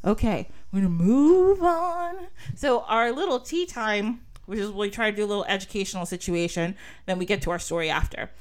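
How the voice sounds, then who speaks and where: A woman hosts a podcast in a loose, chatty style, giggling between lines as she reads from her notes. Her voice is smooth and resonant, sitting at an ordinary middle pitch. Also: lively intonation, a little fast. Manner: giggly, laughs often between sentences. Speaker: podcast host. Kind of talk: casual podcast chat, reading notes aloud.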